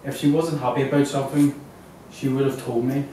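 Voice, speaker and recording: A man's voice: mid-range voice, little pitch movement, brisk, warm tone, natural voice. A man playing a worried client searching for his missing partner, in a crime thriller table read. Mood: worried, defensive. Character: Paul Watts, worried well-groomed man seeking missing partner. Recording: very roomy, distant mic, faint noise.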